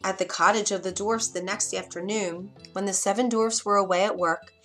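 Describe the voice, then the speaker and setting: A woman's voice: medium pitch, fairly even intonation, moderate pace, fairly bright tone, soft background music. A woman storyteller reading a scripted bedtime fairytale for children.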